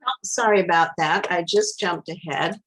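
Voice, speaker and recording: Lady on a video call, low-ish voice, easygoing chatty talk with lots of ums. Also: normal speed, poor audio. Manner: relaxed, chatty, with fillers. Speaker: webinar presenter. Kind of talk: webinar presentation.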